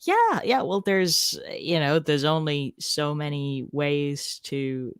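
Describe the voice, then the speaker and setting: A woman guesting on a writing stream in casual chat, relaxed and quick to murmur soft agreement. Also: sits low, clear, resonant tone, no room sound, clean studio sound. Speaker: guest writer.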